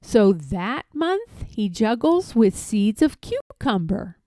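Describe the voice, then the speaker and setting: A woman storyteller with a fairly high voice reads rhyming children's verse at an unhurried pace. She is expressive and stretches out important words, and the recording is close and dry. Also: no echo. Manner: drawn-out emphasis on key words. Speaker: read-aloud storyteller. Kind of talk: expressive picture-book read-aloud in rhyme.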